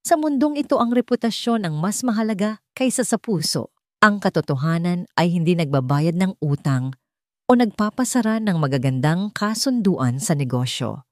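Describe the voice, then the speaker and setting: A woman's voice: on the low side, expressive, moderate pace, a little mellow, dry recording, clean studio sound, lightly acted. A woman voicing a cold, furious, elderly rich matriarch in an audio drama, controlled, commanding and scornful. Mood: scornful lecturing.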